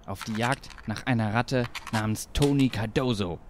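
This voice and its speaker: An actor playing a cocky, ruthless mafia boss in a radio play, with theatrical delivery. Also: male voice, on the low side, moderate pace, noisy background, close to an everyday voice. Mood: cocky menace. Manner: swaggering, theatrical.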